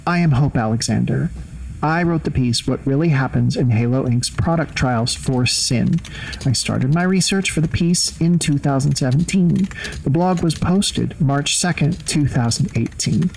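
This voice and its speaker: A man acting in an audio drama as a principled blacklisted journalist, giving formal testimony in measured, precise wording. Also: medium pitch, gravelly, darkish tone, recorded from a distance, a little hiss or hum, lightly acted, rough recording. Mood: grave, resolute.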